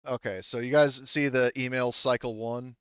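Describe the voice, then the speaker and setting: A man's voice: medium pitch, steady tone, normal speed, fairly bright tone, clean tone, dry recording, muffled recording. A man chatting casually and informally as game master of an online tabletop game, swearing freely. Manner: informal, profane.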